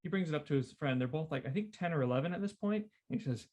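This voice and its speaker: A man's voice: a little high, expressive, very fast, darkish tone. A man hosting a casual literary discussion, repeating phrases for emphasis as he talks. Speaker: podcast host.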